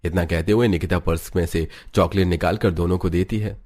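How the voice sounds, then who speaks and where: A man's voice: low voice, fairly even intonation, fairly quick, a little mellow, soft background music. A man giving a scripted narration for a cartoon family story.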